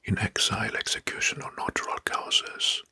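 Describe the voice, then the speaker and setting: A man narrating history in an ASMR style, in a breathy, husky whisper, slowly and deliberately in short phrases. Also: sharp tone. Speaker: ASMR video host. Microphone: close microphone.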